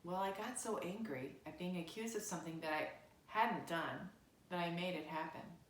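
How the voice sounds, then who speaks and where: A woman's voice: fairly low pitch, moderate pace, slight background noise, close to an everyday voice. A woman voicing an earnest, anxious young schoolgirl in a children's storybook, hesitant and pleading in manner. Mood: confessing. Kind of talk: children's storybook read aloud. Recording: roomy, echoey indoor sound.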